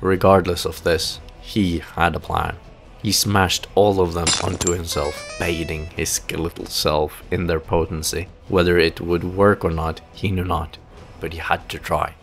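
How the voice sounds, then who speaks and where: A man with a very low voice narrates a fantasy tale. His delivery is solemn and theatrical, each phrase placed for drama, with music underneath. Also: level tone, measured pace, gravelly. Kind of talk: dramatic scripted fantasy audio play narration.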